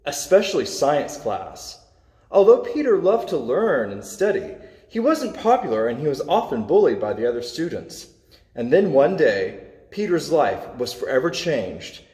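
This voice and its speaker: A man reading a children's superhero story aloud, with expressive, dramatic emphasis. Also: on the high side. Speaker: storybook reader. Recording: echoey, reverberant room.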